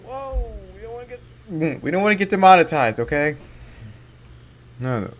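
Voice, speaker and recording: Excitable man commenting on gameplay. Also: high voice, normal speed. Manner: excitable, jokey. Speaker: gaming content creator.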